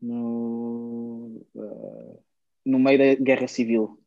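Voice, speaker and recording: A man around thirty, from Angola, slowly recalling his childhood in a casual podcast chat, with frequent pauses. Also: mid-range voice, sing-song, vocal fry, mellow, dry recording. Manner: reflective, halting. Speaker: podcast co-host. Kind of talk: casual chat, personal story. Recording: slightly muffled.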